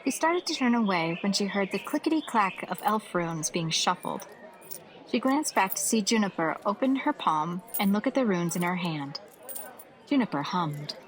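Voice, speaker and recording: A woman's voice: mid-range voice, big pitch swings, normal speed, rough recording. A woman reading scripted fantasy story narration as the narrator of an audio series. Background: festival crowd ambience.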